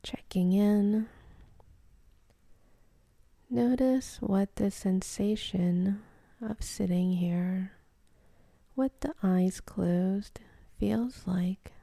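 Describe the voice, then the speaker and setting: A woman guides a meditation in a very dark, mellow voice with a gravelly fry at the edges. She speaks softly, close to a whisper, and takes her time with gentle, soothing instructions, leaving generous space between phrases. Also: medium pitch, dry recording. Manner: soothing, gentle, unhurried, with long pauses.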